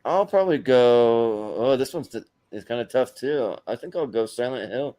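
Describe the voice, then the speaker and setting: A man's voice: mid-range voice, lively intonation, moderate pace, dark tone. A man hosting a casual panel chat, speaking informally to the other participants. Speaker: host of panel show.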